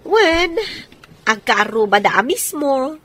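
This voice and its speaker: Very high, animated actress as a pushy friend. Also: female voice, slow, heavily acted. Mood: urging. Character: chatty, pushy woman friend egging on the wife. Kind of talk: Ilocano radio drama acting.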